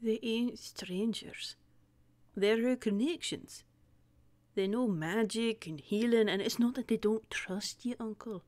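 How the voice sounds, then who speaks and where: Woman as gentle, reassuring little winged toon nephew. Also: fairly high pitch, takes it slowly. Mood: earnest reassurance. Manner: gentle, firm reassurance, folksy wording.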